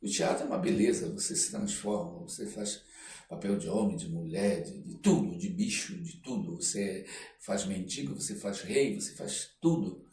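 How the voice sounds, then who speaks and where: An older man with a Brazilian accent tells stories conversationally as an interview guest, in an expressive, reflective way, often checking in with tag questions. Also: low voice, moderate pace. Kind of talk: conversational storytelling. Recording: roomy, distant mic, faint noise.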